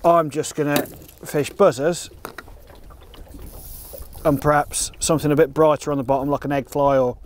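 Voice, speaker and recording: Higher-pitched middle-aged man outdoors. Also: flat delivery, moderate pace, pauses often. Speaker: competition angler presenting a fishing film. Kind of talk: casual instructional commentary. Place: open boat on a lake, outdoors. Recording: outdoor water and boat noise.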